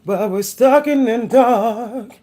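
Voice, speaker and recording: A woman's voice: on the high side, slow pace, mellow. A woman hosting a casual livestream, chatting with viewers, laughing often and breaking into song. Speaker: livestream host. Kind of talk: casual livestream chat with singing.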